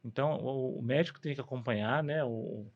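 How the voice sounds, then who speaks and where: A man's voice: medium pitch, brisk, muffled recording. A man with a Brazilian Portuguese accent, a guest doctor explaining medical matters conversationally, often posing a question and answering it himself.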